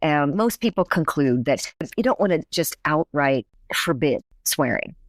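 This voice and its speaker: A woman's voice: low-pitched, animated, unhurried, no echo. A woman professor and native English speaker, explaining her research in a conversational podcast interview, thoughtful with frequent restarts.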